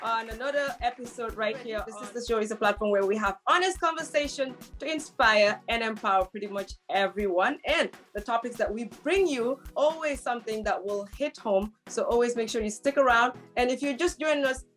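A woman hosting a casual talk show with lively, teasing, playful banter. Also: on the high side, fairly quick, hardly any pauses, soft background music.

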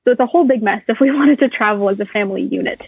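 A woman's voice: a little high, very fast, slightly rough, no room sound. A woman in her twenties, a native Russian speaker, answering interview questions thoughtfully with small fillers. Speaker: interview guest. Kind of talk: casual interview conversation. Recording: tinny phone line.

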